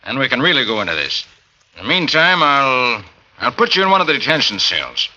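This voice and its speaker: An actor playing a gruff, practical, noncommittal police sergeant in a 1940s radio drama. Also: male voice, medium pitch, huge pitch swings, a little fast, bright, forceful tone, full character voice. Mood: guarded, businesslike. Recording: muffled, faintly noisy vintage radio recording.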